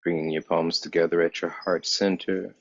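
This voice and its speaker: A man guiding a yoga meditation as a teacher, speaking calmly and in measured short phrases with pauses. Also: sits low, gravelly, no echo. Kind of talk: guided yoga meditation instruction. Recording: dull, muffled lo-fi sound.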